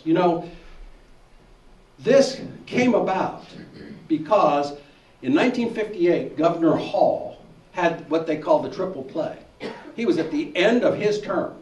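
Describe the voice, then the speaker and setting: A man with a somewhat high voice makes his case, working a story into it. He is picked up from far off in an echoey hall. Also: a little mellow. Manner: persuasive, storytelling. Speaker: bar association president on a panel. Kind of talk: public forum talk. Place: church hall forum. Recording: echoey hall, distant mic.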